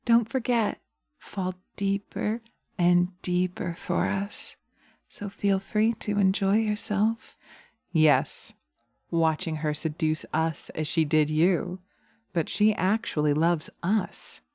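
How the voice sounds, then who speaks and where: A woman playing seductive, taunting witches in a slow hypnosis roleplay, speaking in a hushed, dominant manner close to the microphone. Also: lowish voice, creaky, no echo, heavily acted. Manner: sultry, slow, hushed, often dropping to a whisper. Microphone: close binaural microphone.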